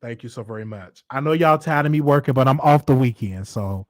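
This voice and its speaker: A man's voice: mid-range voice, a little fast, dry recording. Chatty Southern man in his thirties, dry. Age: 30s. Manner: chatty, laughs often, repeats himself. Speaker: host. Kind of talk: casual live-stream chat.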